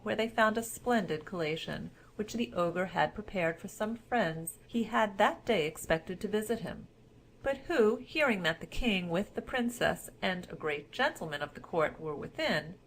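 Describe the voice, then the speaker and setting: Female storyteller, mid-pitched voice, for measured, formal fairy-tale narration from a script. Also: expressive, a little hiss or hum.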